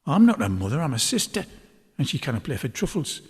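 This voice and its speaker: Man acting a firm, cooing young woman, husky. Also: mid-range voice, sing-song, fairly quick, full character voice. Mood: indignant. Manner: cooing, firm, dialect speech. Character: protective, plain-spoken red-haired young woman, kindly and bossy. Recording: long hall-like reverb.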